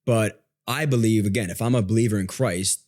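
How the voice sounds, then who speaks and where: Male podcast guest, slightly low register, inquisitive and conversational, explains himself as he talks. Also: brisk, a little mellow.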